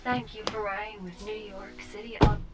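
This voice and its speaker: A woman playing a polite, dutiful self-driving cab AI in an audio drama, formal, measured and apologetic. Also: medium pitch, fairly even intonation, lightly acted. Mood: polite, firm. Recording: music behind the voice.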